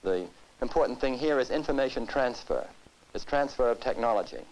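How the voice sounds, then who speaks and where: A man from the United States giving serious interview commentary, speaking deliberately in formal, rhetorical phrases. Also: mid-range voice, animated, darkish tone, a little hiss or hum, rough recording. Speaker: expert interviewee.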